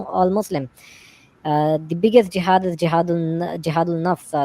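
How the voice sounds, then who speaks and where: A man whose first language is Urdu, speaking English haltingly as a stream guest. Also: a little high, dark tone, no room sound. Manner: halting, searching for words in English. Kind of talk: livestream discussion.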